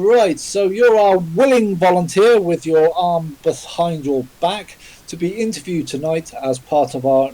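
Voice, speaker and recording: Mellow-voiced man hosting a podcast. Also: high-pitched, rough recording. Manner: polite, formal, filler-heavy. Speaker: podcast host, interviewer. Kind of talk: hosting a live podcast interview. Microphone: remote voice chat call.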